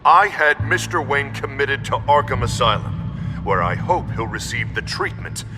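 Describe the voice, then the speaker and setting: An actor playing a stern, self-righteous mayor giving a measured, emphatic televised speech in a video game. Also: male voice, mid-range voice, expressive, natural voice. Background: background music.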